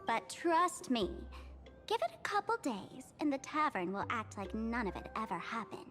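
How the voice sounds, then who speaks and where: A woman's voice: on the high side, big pitch swings, normal speed, fairly bright tone, faint music behind. A woman playing a playful, enigmatic young trickster in an anime-style game, teasing and theatrical. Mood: amused, dismissive.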